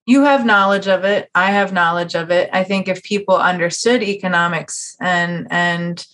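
A woman's voice: lowish voice, fairly even intonation, moderate pace, fairly bright tone. A woman hosting a casual conversational podcast interview, speaking in an opinionated, emphatic way with frequent chuckles. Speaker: podcast host interviewing a guest.

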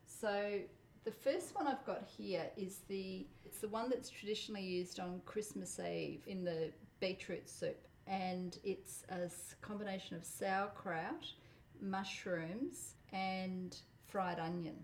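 A middle-aged woman who grew up speaking Polish, talking thoughtfully in a relaxed interview while cooking, with the odd soft laugh. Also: mid-range voice, roomy sound. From Australia. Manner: thoughtful, measured, laughs softly. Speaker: interviewee, home cook. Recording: faint background noise.

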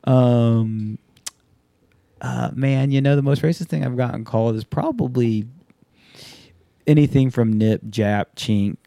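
Man, 30s, podcast host; casual, profane, animated storyteller; mellow tone, dry close recording. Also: mid-range voice, measured pace, vocal fry, pauses often, no echo. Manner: loose, profane, animated storyteller acting out quotes. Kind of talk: casual chat.

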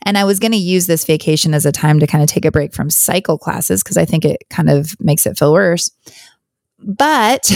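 A hoarse woman hosting a podcast, talking in a candid, chatty, rambling way on her own. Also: fairly low pitch, a little mellow, no echo, studio quality. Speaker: podcast host. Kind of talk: casual solo podcast chat.

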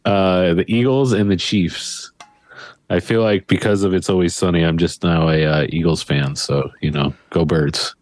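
Deep-voiced laid-back podcast co-host. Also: male voice, fairly even intonation, moderate pace, dry recording. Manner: laid-back, laughs easily, short reactions. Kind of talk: casual chat.

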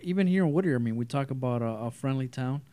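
A man's voice: mid-range voice, quick pace, dark tone. A man hosting an interview, talking in a friendly, affirming, conversational way. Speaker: interview host. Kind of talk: casual interview talk.

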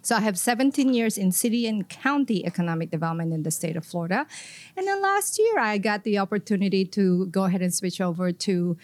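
A woman's voice: medium pitch, animated, fairly quick, darkish tone, no room sound. A middle-aged woman from the Philippines with a Filipino accent, answering interview questions in an upbeat, articulate way. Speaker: guest, economic development executive.

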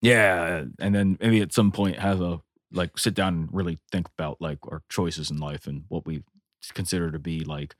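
A man's voice: deep voice, steady tone, moderate pace, no room sound, studio quality. A man playing in a tabletop game, improvising casual role-play chat.

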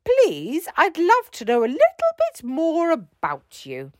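A storybook submarine captain, brave and courteous, calling out to his crew in a voice pitched high above the teller's own, as a woman puts him on. He speaks steadily and with good manners. The sound is dry and close. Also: wildly swooping pitch, takes it slowly, no echo, heavily acted. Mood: steady.

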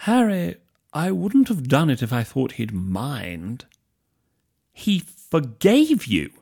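A man's voice: a little high, wildly swooping pitch, slow pace, a little mellow, voice pushed up, heavily acted. A man voicing a languid, sly young wizard who drawls his words. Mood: drawling. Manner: drawling, smooth and teasing.

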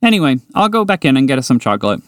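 A man voicing a small, excitable, chatty mouse in a bedtime story, eager and bubbly. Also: medium pitch, clean tone, no room sound, studio quality, voice pushed up, lightly acted. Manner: eager, bubbly, quick to laugh. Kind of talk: storybook character voice.

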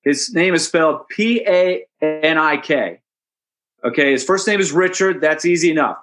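Man, hockey announcer, fairly high voice, relaxed interview talk, chatty storyteller. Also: moderate pace, soft background music. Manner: chatty storyteller, amused, with restarts and asides. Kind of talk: relaxed interview answers, anecdotal.

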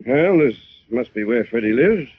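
An actor playing a stubborn, emphatic police commissioner in 1940s style radio drama. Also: male voice, mid-range voice, animated, moderate pace, close to an everyday voice. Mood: determined. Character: blunt, stubborn police commissioner, sure of his theory. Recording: muffled, hissy old radio recording.

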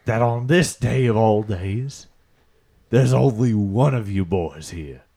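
A man acts out a folksy bayou character with a lowish voice and a slow Louisiana drawl. He is pushy and easily aggrieved, an oddball straight out of an improvised comedy interview. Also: vocal fry, warm tone, slightly rough, heavily acted. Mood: exasperated.

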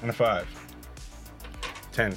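A man's voice: fairly low pitch, steady tone, takes it slowly, music in the background. A man talking casually as a player in a tabletop roleplaying game, in a loose, jokey manner.

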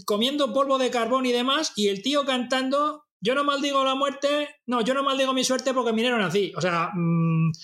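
Chatty man, high and animated. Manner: chatty, rambling, animated, swears casually. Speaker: podcast co-host. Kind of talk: casual chat among friends.

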